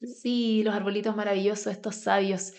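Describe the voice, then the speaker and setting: A woman's voice: mid-range voice, fairly even intonation, moderate pace, a little mellow. A Chilean woman talking conversationally as a podcast guest, telling personal stories in a colloquial way full of asides. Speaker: podcast guest, grounding advocate.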